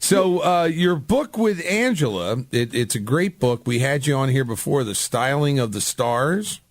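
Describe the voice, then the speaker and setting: A man's voice: medium pitch, expressive, a little slow, no room sound. A man hosting a radio show, chatting casually and informally with a guest as if with an old pal. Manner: chummy, informal address. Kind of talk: casual radio interview chat.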